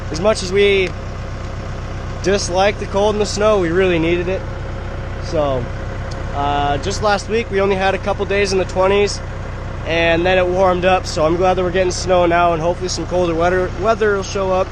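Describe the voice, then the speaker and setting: A man's voice: high voice, fairly even intonation, normal speed. A young man from Montana who is sick, chatting casually to viewers while working on his ranch. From Montana, USA. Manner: chatty and explanatory, addresses viewers directly. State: sick, stuffy voice. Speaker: ranch vlogger. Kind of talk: casual vlog narration. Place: tractor cab and snowy pasture outdoors. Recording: loud engine noise behind.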